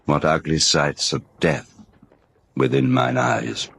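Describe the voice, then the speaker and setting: Very deep, slow, solemn British man. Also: expressive, creaky, fairly bright tone, a little hiss or hum, exaggerated voice. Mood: solemn, haunted. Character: grave, fatalistic man solemnly intoning about death. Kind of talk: theatrical, solemn dramatic recitation.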